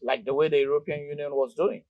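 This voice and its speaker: A man's voice: fairly high pitch, steady tone, fast, no echo, narrow, tinny audio. A man hosting a conversational interview as the interviewer.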